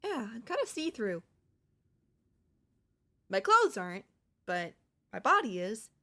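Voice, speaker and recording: A woman's voice: on the high side, big pitch swings, takes it slowly, bright, forceful tone, heavily acted. A woman voicing a cute, affectionate young ghost girl in an intimate ASMR audio roleplay, casually frank and hesitant. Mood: sheepish. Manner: casual, hesitant, matter-of-fact about grim things. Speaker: voice actor.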